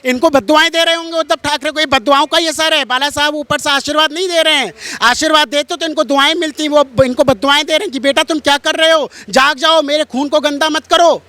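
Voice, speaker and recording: A man with a strikingly high, sharp and forceful voice holds forth as a religious interviewee. His delivery is preachy and emphatic, and he hammers points home by repeating his phrases. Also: fairly even intonation, fast, few pauses, dry recording. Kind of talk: impassioned street-interview polemic.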